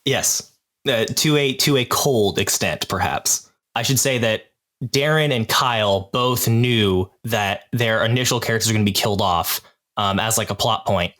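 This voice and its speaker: A man's voice: on the low side, moderate pace, a bit bright. A man talking casually as a cast member in a podcast discussion about their show. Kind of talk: casual panel chat.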